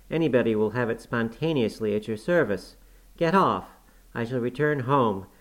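A man voicing a proud, quick-tempered young woman who scolds sharply. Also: mid-range voice, a bit bright.

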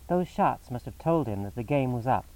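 A cool, incisive detective speaks in a crisp, measured way, read by a male narrator close to his own voice. His pitch sits at an ordinary male middle. The voice sounds a little set back from the microphone. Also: animated, mellow, recorded from a distance, natural voice, low-quality recording.

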